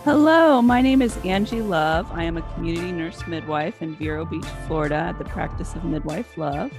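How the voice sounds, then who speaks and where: A woman nurse midwife introduces herself briskly. Her voice is a little low, and music sounds clearly behind her. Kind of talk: scripted podcast intro.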